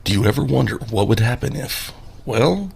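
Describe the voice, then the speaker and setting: A man with a gravelly voice talking casually as the host in a podcast promo. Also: medium pitch, lively intonation, normal speed, loud music behind the voice.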